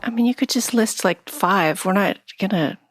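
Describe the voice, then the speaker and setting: A woman's voice: medium pitch, moderate pace. A woman co-hosting a podcast, asking questions in a playful, easy-laughing way. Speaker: podcast co-host. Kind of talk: casual interview chat.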